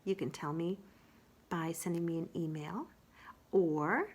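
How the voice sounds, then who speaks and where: A woman working as a children's storyteller, chatting casually to young listeners and introducing a storybook. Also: on the low side. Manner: animated, unhurried storytelling.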